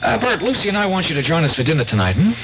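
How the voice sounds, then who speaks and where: A dreamy, earnest artist in an old radio mystery. His mid-pitched voice has a creaky grain and expressive swings, hesitant and wistful, on a muffled broadcast recording. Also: rapid-fire. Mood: casual, regretful. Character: earnest, dreamy, evasive male portrait painter. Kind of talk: 1970s radio mystery acting. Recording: muffled, noisy 1970s radio broadcast with hum.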